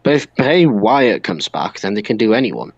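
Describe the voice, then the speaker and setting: A man's voice: lowish voice, big pitch swings, normal speed, dry recording, muffled recording. A man co-hosting a wrestling podcast in casual group chat, speaking bluntly and with strong opinions.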